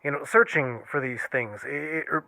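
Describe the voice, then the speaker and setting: Earnest paranormal author, bright dry sound. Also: male voice, mid-range voice, moderate pace, creaky, dry recording. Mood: earnest, modest. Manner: hesitant, earnest, reflective. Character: earnest paranormal author and show host, modest, thoughtful. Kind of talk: audio drama acting as radio interview guest.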